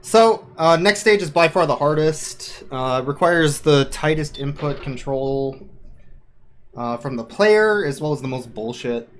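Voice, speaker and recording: A man's voice: fairly high pitch, lively intonation, unhurried, slight background noise. A man giving casual, wry, offhand commentary on a live video game speedrun. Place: live gaming event stream with game music behind.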